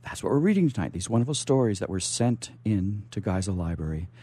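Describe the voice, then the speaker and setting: A man's voice: lowish voice, sing-song, a little fast, dark tone, husky, dry recording. A man hosting a radio show, chatting and introducing guests in an effusive, theatrical way. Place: radio studio.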